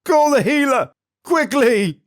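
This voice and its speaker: A man's voice: extremely high pitch, lively intonation, slow, dry recording, clean studio sound, pitched above the performer's own voice, full character voice. A man voicing a middle-aged queen who screeches frantic orders in hysterical grief.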